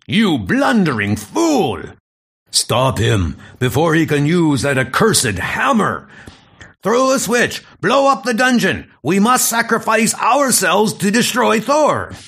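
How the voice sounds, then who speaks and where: A man's voice: sits high, wildly swooping pitch, takes it slowly, creaky, fairly bright tone, slightly rough, pitched above the performer's own voice, heavily acted. A man doing a barking villain commander. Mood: furious. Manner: furious, barking orders. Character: ruthless male enemy fortress commander.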